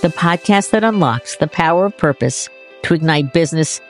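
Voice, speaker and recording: Low-pitched woman hosting a podcast. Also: lively intonation, moderate pace, soft background music. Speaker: podcast host. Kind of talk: scripted podcast introduction, then warm-up interview talk.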